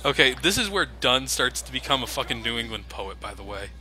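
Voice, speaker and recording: A man's voice: mid-range voice, very animated, a little fast. A man giving casual, sarcastic commentary over a video game, joking about its flaws. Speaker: let's play commentator.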